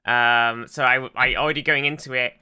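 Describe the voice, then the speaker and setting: A man with a very bright, forward voice and a clean, smooth tone talks casually as a podcast host. He rambles, often repeating a word or restarting a phrase before moving on. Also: medium pitch, steady tone, normal speed.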